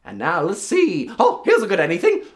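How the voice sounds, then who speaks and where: A man's voice: high-pitched, huge pitch swings, fairly quick. A man voicing a mischievous, meddling leprechaun in a folktale, gleeful and teasing as he makes things up. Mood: gleeful, bossy. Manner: gleeful, teasing, improvising as he goes. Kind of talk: live folktale storytelling.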